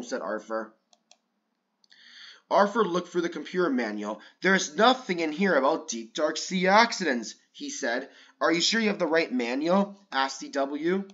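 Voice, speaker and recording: A man's voice: on the high side, muffled recording. A man reading a children's picture book aloud, changing his voice for the lines and stopping now and then to comment casually. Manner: breaks off to comment casually between pages; lively, with raised voices for excited lines. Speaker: read-along storyteller.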